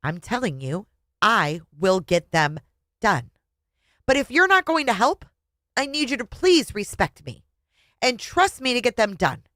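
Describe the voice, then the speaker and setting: A woman voicing an exasperated wife in a story, pleading and high-strung as she begs to be understood. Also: sing-song, unhurried, a bit bright, no room sound, studio quality. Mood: strained, insistent.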